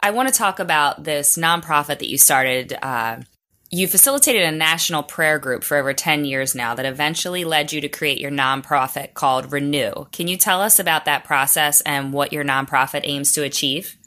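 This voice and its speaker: A woman hosting an interview, reading a polished introduction and asking measured questions. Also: deep voice, bright, forceful tone. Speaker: host.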